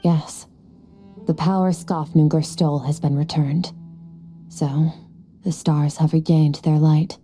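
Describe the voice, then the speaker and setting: A woman voicing an aloof, solemn, ageless swordswoman in a video game, speaking in a measured, philosophical way. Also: low-pitched, fairly even intonation. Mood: solemn, reflective. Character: aloof, solemn, centuries-old swordswoman who looks youthful. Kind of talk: video game cutscene voice acting. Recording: soft background music behind the voice.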